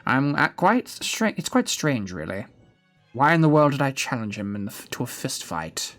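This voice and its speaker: Man putting on a theatrical old gentleman villain, swooping pitch. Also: big pitch swings, normal speed, faint music behind. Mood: musing, theatrical. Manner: pompous, theatrical storytelling. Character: aged gentleman villain, gleefully wicked, sentimental, theatrical. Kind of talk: dramatic reading of game story dialogue.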